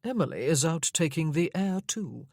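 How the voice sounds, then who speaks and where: A haughty young aristocrat drawls with languid, bored wit, as a woman plays him. The voice is low and husky, dry and close. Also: sing-song, moderate pace, darkish tone, no echo, heavily acted.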